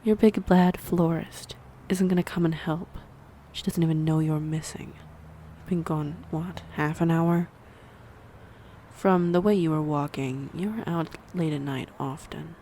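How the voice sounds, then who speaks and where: A woman playing a snide, predatory vampire in an audio roleplay, mocking and teasing, with a breathy voice that slips into whispers. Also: low voice, steady tone, a little slow, mellow, a little hiss or hum. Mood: scornful, mocking. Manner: mocking, teasing, theatrically menacing. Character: snide, predatory female vampire toying with prey. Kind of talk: audio roleplay acting addressed to the listener.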